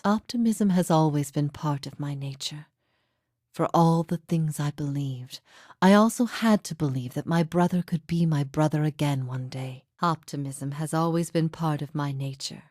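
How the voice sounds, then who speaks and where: A woman voicing a grave, forgiving heretic teacher in a fantasy game, speaking in a solemn, measured, reflective way. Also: low voice, darkish tone, no echo, clean studio sound. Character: grave, forgiving female heretic teacher, long imprisoned, philosophical. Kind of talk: scripted fantasy game dialogue.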